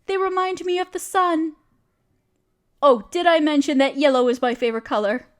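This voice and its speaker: A woman's voice: high voice, normal speed, close to an everyday voice. A woman playing a friendly, genteel witch who chats kindly in quaint, dainty phrases, in an audio roleplay. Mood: friendly, chatty. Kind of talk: scripted audio roleplay speaking to the listener.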